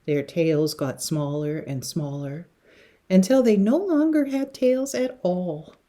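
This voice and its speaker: A woman reading a picture book to young children in an expressive, dramatic way, with hushed passages and emphatic words. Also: fairly low pitch, slow pace. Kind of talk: expressive children's story read-aloud.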